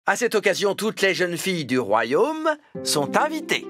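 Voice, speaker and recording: Woman doing a stuffy formal palace herald guy, slow solemn swoopy announcing, faint music. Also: on the low side, huge pitch swings. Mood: pompous. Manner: solemn, measured proclamation. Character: pompous, formal royal palace ambassador, male.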